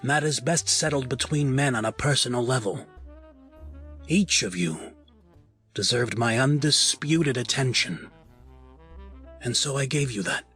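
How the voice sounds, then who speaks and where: A man playing a proud, dignified crime family patriarch in an audio drama, speaking formally and with measured gravity. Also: mid-range voice, fairly bright tone, husky, faint music behind. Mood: grave, accusing.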